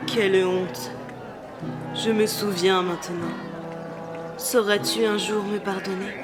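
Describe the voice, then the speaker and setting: A proud elf queen acted by a woman speaks solemnly and theatrically, weighed down by remorse. Her voice is mid-pitched under loud music, as in a fantasy audio drama. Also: little pitch movement, slow pace, crowd noise, lightly acted. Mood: ashamed, remorseful.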